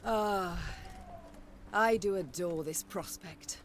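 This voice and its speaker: A woman's voice: on the low side, slow, slight background noise. A woman voicing a stern, commanding warrior queen in a video game, speaking in a formal, regal manner. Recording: game audio over soft music.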